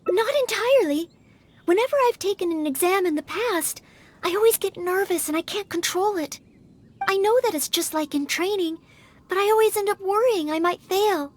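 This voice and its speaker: An actress playing a timid, polite young maid knight in a video game, speaking earnestly and hesitantly, eager to help. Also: female voice, very high voice, moderate pace. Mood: excited, nervous. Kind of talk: video game character acting.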